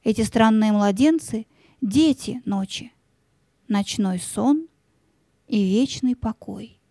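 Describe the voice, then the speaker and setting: A woman narrating a fairy-tale audio play in an expressive, theatrical storytelling manner. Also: on the high side, takes it slowly, dry recording, clean studio sound.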